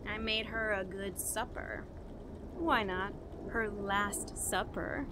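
A woman's voice: medium pitch, steady tone, slow pace, noisy background, lightly acted. An actress playing a scheming, guilt-ridden murderous woman who confesses and argues in a radio horror drama. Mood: tense, curt.

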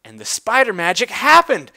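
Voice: mid-range voice, very animated, moderate pace, vocal fry, bright, forceful tone. A person giving a theatrical, emphatic dramatic reading of a story, drawing out words for effect.

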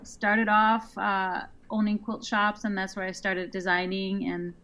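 A woman from Iceland, a guest being interviewed, talking casually with frequent restarts and a quick laugh. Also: mid-range voice, level tone, bright, forceful tone. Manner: chatty, quick to laugh, restarts her sentences. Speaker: guest quilt designer.

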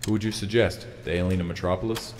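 A man's voice: deep voice, fairly even intonation, fast, darkish tone, slight background noise, natural voice. A man playing a grim, resolute vigilante hero in an audio drama, speaking in a terse, stern way.

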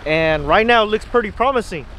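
A man with a high voice talks in a loose, slangy vlog style. He sounds casual and informal, speaking into a handheld camera outdoors, with faint wind noise around him. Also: expressive, a little fast, a bit bright, rough recording. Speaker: fishing vlogger. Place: outdoors on a windy rocky lakeshore. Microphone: handheld camera at arm's length.

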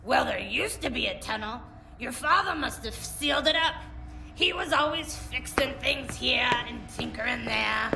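A woman's voice: sits high, animated, normal speed, creaky, sharp tone, heavily acted. A woman playing a feisty, stubborn elderly mother in an audio drama, scolding and brash. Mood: fussy, insistent. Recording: echoey room, faint music underneath.

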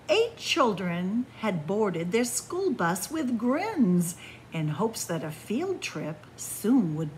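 Middle aged lady doing library story time, really lively up and down voice, bit of room noise. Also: mid-range voice, low-quality recording. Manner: animated, deliberate storytelling delivery for children. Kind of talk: expressive picture-book read-aloud. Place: indoors in a library among bookshelves.

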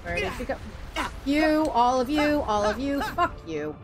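A woman's voice: sits high, normal speed, music in the background. A woman streaming a video game, ranting sarcastically and swearing at enemies as she plays. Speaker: gaming streamer. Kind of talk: ranting let's-play commentary.

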